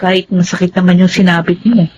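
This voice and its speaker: An actress playing a caring, devout young woman who advises in a gently persuasive way in a Tagalog radio drama. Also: lowish voice, level tone, fast talker, close to an everyday voice. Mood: encouraging. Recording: dull, noisy lo-fi sound.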